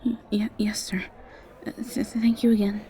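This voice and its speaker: A woman's voice: mid-range voice, flat delivery, moderate pace, mellow, lightly acted. A woman playing a shy, sweet, anxious young academy student in an audio roleplay, stammering nervously and apologizing as she goes. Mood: relieved, timid. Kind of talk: audio roleplay acting, addressed to the listener.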